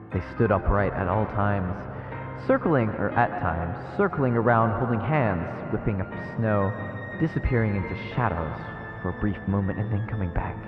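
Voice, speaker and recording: Very deep-voiced man narrating horror, echoey. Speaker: streamer. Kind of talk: dramatic read-aloud narration of a horror visual novel. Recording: echoey reverberant muffled sound with music. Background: game music.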